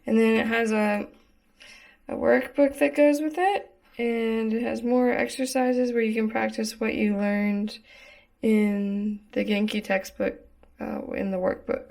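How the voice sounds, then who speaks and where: Slow, hesitant young woman. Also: fairly high pitch, little pitch movement, gravelly, a little mellow. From Texas, United States. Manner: hesitant, lots of ums and likes. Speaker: vlogger. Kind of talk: casual vlog chat.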